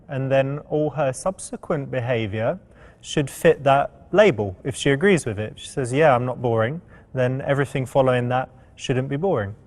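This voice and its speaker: A man's voice: mid-range voice, darkish tone. A man talking casually, like a host and dating coach chatting and giving commentary.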